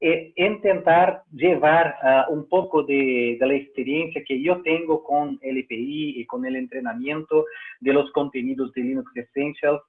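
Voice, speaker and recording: A man whose first language is Portuguese, with a Brazilian Portuguese accent, presenting a live webinar in halting second-language speech with many fillers and restarts. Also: mid-range voice, fairly even intonation. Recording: tinny phone-like line.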